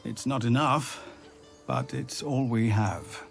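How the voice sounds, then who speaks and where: A dignified, weary refugee leader in a fantasy game. He speaks earnestly and with measure at a medium pitch, with faint music underneath. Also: takes it slowly, gravelly. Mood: grateful, earnest. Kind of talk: video game voice acting.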